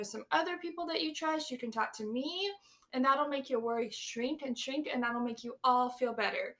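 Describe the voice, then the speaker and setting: A woman's voice: sits high, a bit bright. A woman teacher reading a picture book aloud to young children, stopping to ask them questions and explain words.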